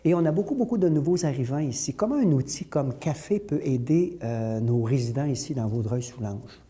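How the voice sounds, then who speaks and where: A man with a Quebec French accent asking interview questions in a halting, hesitant way. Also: mid-range voice, lively intonation, warm tone.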